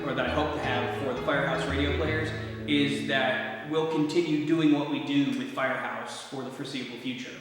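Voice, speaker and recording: A man's voice: mid-range voice, steady tone, brisk, a bit bright, soft background music. A man talking casually as a member of a radio theater group. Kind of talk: casual talk. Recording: very echoey, distant, faint noise.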